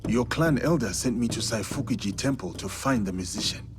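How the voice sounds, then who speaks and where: A man's voice: fairly low pitch, expressive, brisk, over music, lightly acted. A man playing a solemn, courteous warrior in a video game, speaking in a formal, restrained way. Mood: resolute. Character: solemn, courteous male warrior seeking answers. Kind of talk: dramatic video game cutscene acting.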